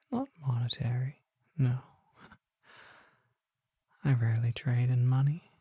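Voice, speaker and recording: A performer voicing a courteous, curious old vampire shopkeeper in a breathy, intimate ASMR roleplay, mostly in whispers, with genteel phrasing. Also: medium pitch, mellow, no room sound, lo-fi sound, full character voice. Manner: slow, genteel, formal phrasing.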